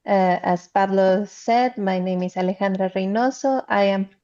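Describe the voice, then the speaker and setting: Woman w guatemalan spanish accent, mid pitch, presenting remotely over a call, bit dull audio, explains things with lots of stops. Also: moderate pace, dark tone. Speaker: council chair speaking as panelist.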